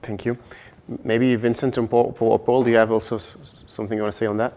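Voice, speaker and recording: A man moderating a round table discussion, putting questions haltingly and repeating words. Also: mid-range voice, little pitch movement, mellow.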